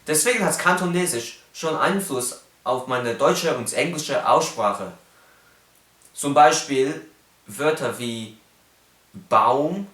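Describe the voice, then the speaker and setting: A man's voice: medium pitch, slow, fairly bright tone, roomy sound. A young man whose first language is Cantonese, speaking with a Cantonese accent as he explains phonetics in a casual vlog and carefully demonstrates sounds.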